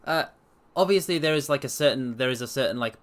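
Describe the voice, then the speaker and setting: A man streaming a dramatic story reading, with theatrical, expressive delivery and casual asides. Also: medium pitch, fast. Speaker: streamer and reader.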